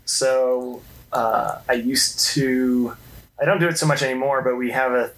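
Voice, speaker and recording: A man's voice: medium pitch, steady tone, a little slow, fairly bright tone, rough recording. A man chatting casually as a podcast guest, slipping in wry, dry asides. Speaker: mailroom worker, podcast guest. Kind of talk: casual chat. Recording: light background noise.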